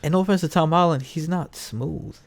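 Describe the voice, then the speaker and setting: A man's voice: on the high side, brisk, vocal fry. A man co-hosting a casual review podcast, talking in a playful, slangy way. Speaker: podcast co-host, movie reviewer. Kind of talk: casual joking chat.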